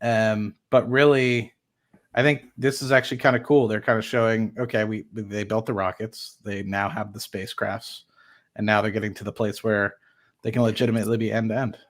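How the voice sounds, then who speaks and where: A man's voice: fairly low pitch, little pitch movement, moderate pace. A man hosting a livestream, talking informally and thinking aloud as he reads through documents and comments on them. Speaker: host of an investing livestream. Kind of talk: casual live commentary while reading documents.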